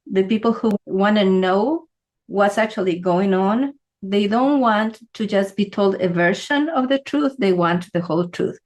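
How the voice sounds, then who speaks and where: A woman from Ecuador explaining symbolism in a relaxed teaching talk, often checking in with "right?". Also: mid-range voice. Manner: conversational, asks "right?" often. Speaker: feng shui teacher.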